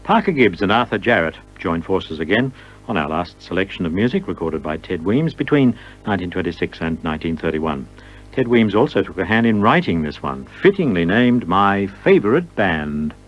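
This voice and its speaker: A man's voice: deep voice, very animated, normal speed. A man presenting a radio programme of vintage music in the manner of a show host. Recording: dull lo-fi radio recording, music.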